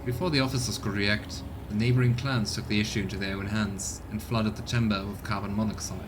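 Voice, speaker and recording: A man's voice: fairly low pitch, steady tone, fairly quick, background noise, natural voice. A man reading scripted narration aloud as the solemn narrator of a grim space game.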